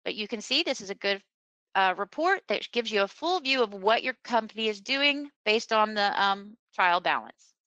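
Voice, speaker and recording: A woman presenting a webinar as a software trainer, explaining a screen demonstration step by step in an unscripted way. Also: mid-range voice, expressive, normal speed, no echo, rough recording. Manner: instructional, step-by-step.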